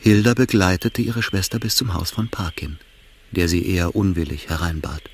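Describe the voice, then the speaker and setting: A man's voice: sits low, steady tone, moderate pace. A man narrating a literary radio drama as scripted storytelling.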